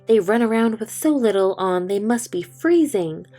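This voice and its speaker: A woman's voice: medium pitch, animated, moderate pace, dark tone, soft background music, natural voice. A woman reading as a kind shoemaker's wife. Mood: earnest.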